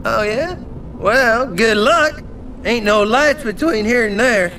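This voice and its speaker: An actor playing a cocky, taunting survivor in a video game, mocking and drawling. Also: male voice, very high voice, takes it slowly, pushed and bright, slight background noise, heavily acted. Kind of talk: video game cutscene acting.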